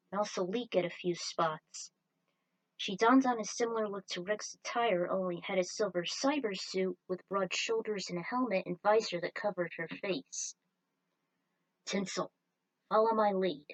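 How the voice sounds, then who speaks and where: Female narrator, mid-pitched, for amateur story reading; scripted delivery with small slips. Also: vocal fry, rough recording. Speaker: narrator reading own fan fiction.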